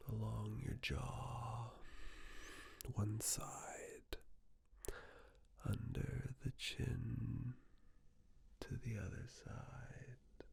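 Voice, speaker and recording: A person whispering an ASMR personal-attention roleplay, giving gentle, soothing step-by-step instructions in a breathy, mostly unvoiced voice. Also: medium pitch, no room sound.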